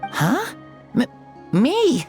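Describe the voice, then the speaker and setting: A woman voicing an earnest, wide-eyed young boy in a video game, with a hesitant, halting way of talking. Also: sits high, huge pitch swings, a little mellow, full character voice. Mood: confused, groggy. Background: music.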